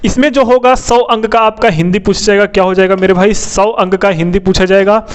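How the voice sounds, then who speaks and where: A man's voice: high-pitched, fairly even intonation, fairly quick, smooth. A man teaching in an informal lecture style, repeating key points, asking questions and answering them himself, often adding tag questions. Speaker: exam coaching teacher. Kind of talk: informal explanatory lecture on an exam syllabus.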